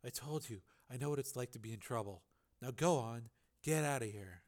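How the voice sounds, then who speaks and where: A man voicing a tough, dry-witted showgirl who talks low and quietly. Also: brisk, lightly acted. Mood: hushed. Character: dry, tough-talking older showgirl, woman.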